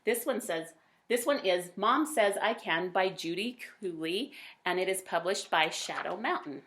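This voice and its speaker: A woman reading a picture book aloud to children in an expressive storytelling style. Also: fairly low pitch, a little slow, slight background noise. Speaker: read-aloud storyteller, teacher.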